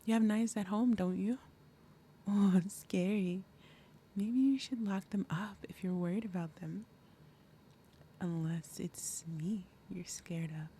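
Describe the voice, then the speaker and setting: A woman voicing a big, possessive demon mother in an ASMR roleplay, falsely sweet and threatening, speaking deliberately and dropping into breathy murmurs. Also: medium pitch, warm tone, slight background noise, lightly acted. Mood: taunting, menacing. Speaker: roleplay audio performer. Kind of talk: ASMR roleplay, acted monologue.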